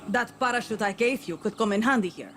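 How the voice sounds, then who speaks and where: A woman's voice: a little high, lively intonation, fairly bright tone, a little hiss or hum. An actress playing a tough, wry female rebel and former volunteer soldier who briefs a partner on a mission in a video game. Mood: brisk. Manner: brisk, instructive, wry. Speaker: guide giving mission briefings. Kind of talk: video game cutscene acting.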